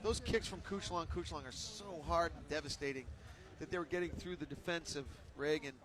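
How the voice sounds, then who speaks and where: A man calls a kickboxing fight from ringside with a fairly high voice. He gets excited and exclaims at the hard strikes, then settles back into steady analysis. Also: a little fast, crowd noise. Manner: excited, exclaims at big hits. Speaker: fight commentator. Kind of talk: live ringside commentary.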